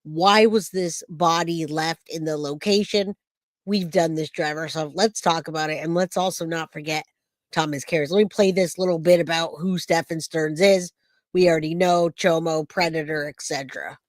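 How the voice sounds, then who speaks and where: A man hosting a true crime livestream, hoarse and raspy from a cold, chatting casually and greeting viewers by name. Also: on the high side, steady tone, normal speed, no echo, clean studio sound.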